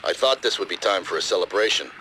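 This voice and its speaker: An actor playing a stern, authoritative military commander who gives clipped, formal mission briefings in a video game. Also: male voice, low-pitched, expressive, fast, sharp tone, long reverb tail, slight background noise, rough recording. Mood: commanding.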